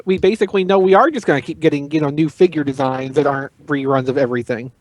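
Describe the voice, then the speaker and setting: A man's voice: a little high, fast talker. A man chatting casually as a podcast panelist in an offhand, informal way. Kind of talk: casual chat.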